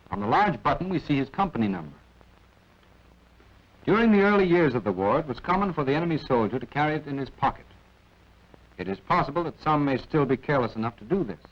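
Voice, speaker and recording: A man with an American accent narrating a 1940s military training film, instructing in a clipped way with wry asides. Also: mid-range voice. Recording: dull, band-limited vintage film soundtrack.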